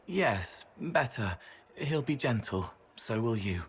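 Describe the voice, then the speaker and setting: An actor playing a gentle, otherworldly young man in a video game, speaking in cryptic, poetic fragments. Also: medium pitch, sing-song, slow, music in the background. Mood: gentle, earnest.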